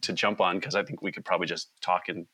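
A man in his forties co-hosts a casual podcast chat. His voice sits a little low but sounds bright and clear. He is easygoing and pokes fun at himself. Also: fairly even intonation, quick pace. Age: 40s. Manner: self-deprecating, easygoing. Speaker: podcast co-host.

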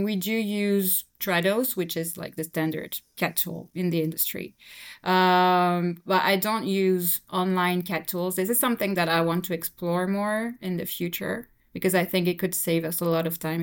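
A young woman whose first language is French, talking relaxed and casually as an interview guest, with many fillers. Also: lowish voice, moderate pace, smooth. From Belgium. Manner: relaxed, frequent fillers and "you know". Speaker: guest translator.

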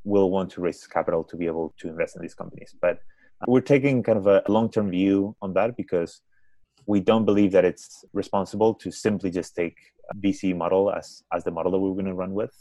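A man's voice: deep voice, steady tone, brisk, warm tone, no room sound. A man with a Spanish accent, a startup founder answering podcast questions thoughtfully and often restarting his words. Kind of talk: conversational interview answers.

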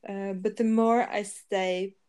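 A woman's voice: mid-range voice, fairly even intonation, takes it slowly, darkish tone, clean tone. A woman in her thirties from Norway, speaking English with a Norwegian accent as a podcast guest, easygoing with light laughs. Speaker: guest, yoga teacher and psychotherapist. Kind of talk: casual interview conversation.

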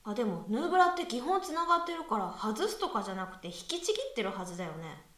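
A woman's voice: on the high side, sing-song, quick pace, a bit bright. A woman playing a skeptical, exacting young female university student with dry retorts in a conversational radio drama. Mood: reasoning, dry. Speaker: voice actress in amateur radio drama. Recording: echoey room, far from mic.

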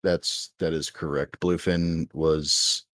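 An American man with a very deep voice talks casually, piling up fillers and restarting his sentences. The sound is dry and close, with no room around it. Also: flat delivery, slow pace, fairly bright tone, no echo. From United States. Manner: rambling, many fillers and restarts. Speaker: podcast co-host. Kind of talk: casual chat.

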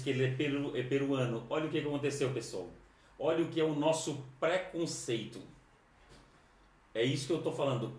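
A man's voice: mid-range voice, a little mellow. A man with a southern Brazilian accent chatting casually on a livestream, informal and rambling, often repeating his phrases. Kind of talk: casual livestream chat, greeting viewers. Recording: echoey room, distant microphone.

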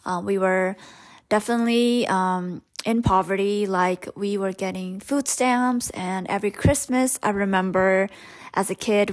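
A young woman, Hmong her first language, hosting a podcast and telling her own story in a candid, rambling way with many fillers. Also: mid-range voice. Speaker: podcast host. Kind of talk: personal storytelling monologue.

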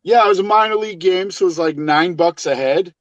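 A man's voice: sits high, quick pace, no room sound, dull audio. A man from Massachusetts co-hosting a podcast, telling rambling stories in casual, profane chat. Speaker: podcast co-host.

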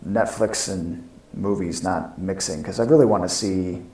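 A man's voice: sits low, moderate pace, dark tone. A man chatting casually as co-host of a pop-culture podcast. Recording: echoey, roomy home podcast audio.

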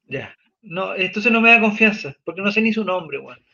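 A man's voice: high-pitched, expressive, moderate pace, gravelly, bright, forceful tone, highs cut off. A man with a Chilean accent hosting a casual chat, rambling and joking in slang while he reads viewer comments. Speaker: podcast host.

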